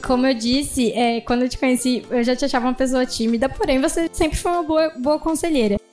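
A woman's voice: high voice, fast, a little mellow, faint music behind. A woman hosting a casual podcast interview, chatty, asking questions and laughing easily. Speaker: podcast host and editor.

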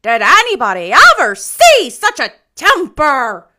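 A woman's voice: very high voice, big pitch swings, measured pace, sharp tone, voice pushed up, exaggerated voice. A woman voicing a blunt, opinionated older village busybody in a storybook, emphatic and scandalized.

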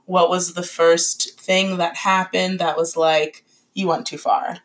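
A woman's voice: lowish voice, flat delivery, slow. A woman co-hosting a podcast, chatting casually and laughing often. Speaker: podcast co-host. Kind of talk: casual chat.

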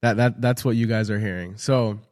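A man's voice: lowish voice, steady tone, brisk, darkish tone. A man hosting a casual podcast, sounding nasal from a cold and laughing easily. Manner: laid-back, laughs often. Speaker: podcast host.

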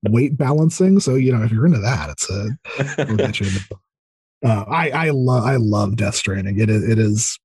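A man's voice: on the low side, expressive, fairly quick, darkish tone, slightly rough, no room sound. A man in a casual interview chat as a podcast guest, thinking aloud hesitantly but with enthusiasm. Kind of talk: casual interview chat about video games.

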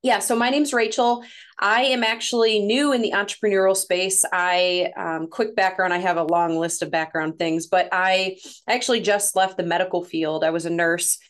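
A woman in her thirties with a Midwestern US accent, a podcast guest who talks in a chatty way, laughs often and gets lively when quoting others. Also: mid-range voice, normal speed. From Missouri, USA. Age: 30s. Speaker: guest, women's coach. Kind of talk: casual podcast interview.

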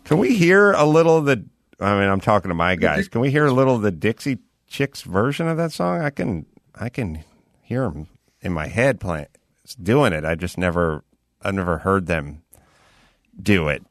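A man's voice: fairly low pitch, very animated, moderate pace, no room sound. A man hosting a casual studio chat, joking and laughing often while a song plays behind him. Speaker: podcast host.

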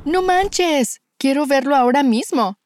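A woman with a Mexican accent voicing an excitable young woman in a scripted learner dialogue. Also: sits high, a little slow. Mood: excited. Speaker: dialogue actor.

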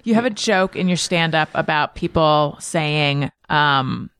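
A woman's voice: low voice, unhurried, a bit bright, smooth, dry recording, clean studio sound. A woman hosting a podcast, asking questions in an easygoing way and laughing along with her guest. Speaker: podcast host. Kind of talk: casual interview chat.

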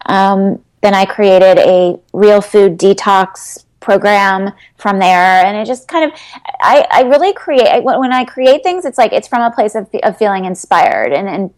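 Woman guest, mid-pitched, earnest and hesitant, coaching talk. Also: unhurried, a little mellow. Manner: earnest, rambling, many ums and restarts. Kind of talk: casual interview answers.

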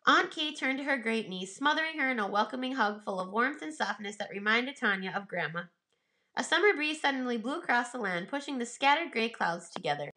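A woman reading a children's story aloud in expressive scripted narration. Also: a little high, brisk.

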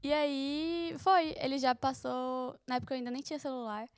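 A woman's voice: high voice, moderate pace, no echo. A young woman, co-hosting a casual couple podcast and telling stories with teasing laughs. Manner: laughing, teasing, lively storytelling. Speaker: podcast co-host.

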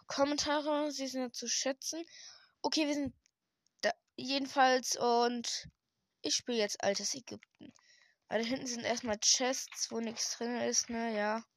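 Hoarse, slow-talking young woman gamer. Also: a little high, fairly even intonation, dry recording. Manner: hesitant, rambling, many fillers and restarts. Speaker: gaming channel host. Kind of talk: casual gameplay commentary. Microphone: desk microphone.

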